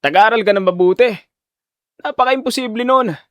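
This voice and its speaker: A man voicing an arrogant rich male high school student in an audio drama, sneering and condescending. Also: fairly quick, no room sound, close to an everyday voice. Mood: scornful.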